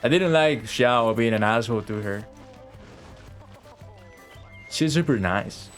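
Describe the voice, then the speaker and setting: Casual male streamer with medium pitch. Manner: halting, repeats phrases, mild swearing. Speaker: game streamer. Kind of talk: casual live gameplay commentary. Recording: game music behind the voice.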